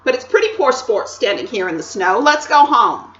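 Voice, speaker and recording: A woman's voice: on the high side, sing-song, moderate pace, fairly bright tone, echoey room, dull audio, a little hiss or hum, pitched above the performer's own voice. A woman doing a snappish sulky boy. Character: sulky grumpy young boy.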